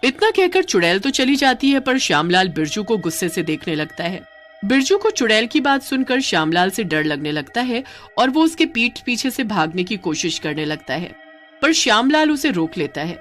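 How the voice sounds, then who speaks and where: A woman telling a scripted story as narrator, in an expressive storytelling style. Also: medium pitch, normal speed, soft background music.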